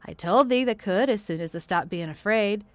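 A woman's voice: mid-range voice, moderate pace, no room sound, natural voice. A woman voicing a cheerful country boy in Yorkshire dialect, as she reads a storybook aloud. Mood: easy. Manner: easy, cheerful.

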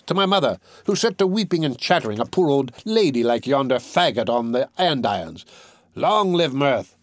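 A man's voice: mid-range voice, no echo, clean studio sound, natural voice. Man acting a young vagabond in armor, boastful, jovial, tipsy, swaggering, exclamatory storybook delivery. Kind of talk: storybook character voice in an audiobook.

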